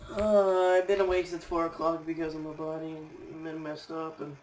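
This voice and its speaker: A young man with a raspy voice and a stuffy nose, rambling tiredly with many fillers as he comments on his gameplay. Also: a little high, little pitch movement, moderate pace, a little mellow, a little hiss or hum, rough recording. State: stuffy nose, sore throat.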